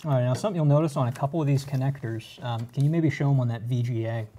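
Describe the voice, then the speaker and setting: Man, mellow dark voice, patient explainer, corny dad jokes, faint noise behind. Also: mid-range voice, fast. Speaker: tech co-host. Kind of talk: casual live-stream explanation.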